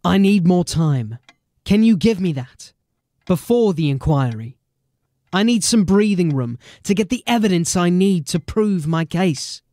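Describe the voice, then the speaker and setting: A man playing a determined, embattled police sergeant in a dramatic audio drama, speaking earnestly and deliberately. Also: high voice, animated, dark tone, dry recording, studio quality. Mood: earnest pleading.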